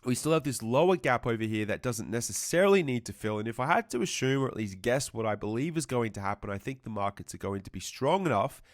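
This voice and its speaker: A man's voice: medium pitch, lively intonation, fast talker, few pauses, no room sound. Male trading educator, nasal and congested from a cold, explanatory chart commentary, dry room. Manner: explanatory, repeats and rephrases himself, says "right" often. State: congested, a little fluey. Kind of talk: market analysis commentary.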